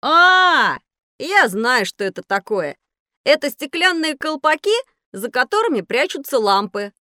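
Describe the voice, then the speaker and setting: A woman's voice: high-pitched, measured pace, no room sound, studio quality, heavily acted. A woman playing an eager, inquisitive young boy who likes to show what he knows, in a scripted children's audio drama. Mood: eager, curious. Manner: eager, know-it-all, expressive. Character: bright inquisitive young boy on a metro tour.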